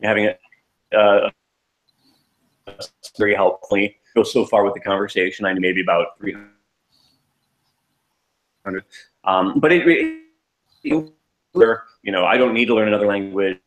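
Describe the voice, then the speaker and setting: A man's voice: lowish voice, unhurried, long pauses, poor audio. A man co-hosting a casual podcast chat, rambling with many restarts and fillers. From United States. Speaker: podcast co-host.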